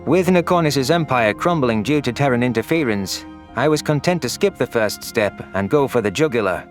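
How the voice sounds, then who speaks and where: A man's voice: medium pitch, lively intonation, moderate pace, soft background music, lightly acted. A man voicing an anguished, vengeful young furry alien who narrates his own audio story in an earnest, solemn, dramatic way.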